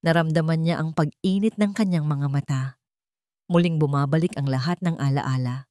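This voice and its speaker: A woman's voice: deep voice, moderate pace, warm tone, no echo, studio quality. A woman storyteller reading a scripted romantic drama in a dramatic narrating style.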